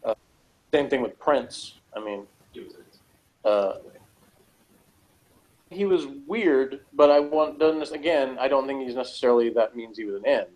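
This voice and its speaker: A man's voice: medium pitch, expressive, measured pace, long pauses, darkish tone, rough recording. A man talking casually in a loose, rambling discussion, feeling his way through his points hesitantly. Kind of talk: casual discussion of TV and personality types.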